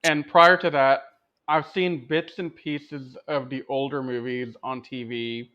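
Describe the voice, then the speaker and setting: A man's voice: mid-range voice, fairly even intonation, unhurried. A man co-hosting a show, chatting casually about films he has seen. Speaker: show co-host. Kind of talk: casual film discussion. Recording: dull, lo-fi mic sound.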